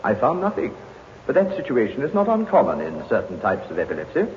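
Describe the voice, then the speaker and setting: Precise doctor, very animated pitch. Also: male voice, slight background noise. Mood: precise, sober. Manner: precise, measured, formal. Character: precise, professional male doctor testifying in court. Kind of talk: 1950s radio drama acting. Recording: muffled old radio recording, long reverb.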